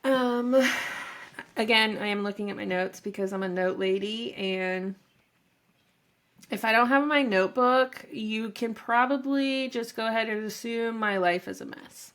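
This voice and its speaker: A woman's voice: fairly high pitch, measured pace, a bit bright. A middle-aged woman with a head cold, rambling shyly through the intro of her home vlog, with many fillers and asides, recorded on her own microphone in her home office. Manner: shy and nervous. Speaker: vlogger introducing her channel. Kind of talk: casual talk to camera.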